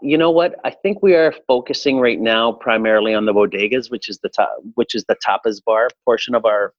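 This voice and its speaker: A man in his forties from Chile, a restaurateur answering interview questions in a relaxed, reflective way with frequent fillers. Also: fairly low pitch, fairly quick, dry recording, dull audio. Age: 40s. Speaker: restaurateur guest.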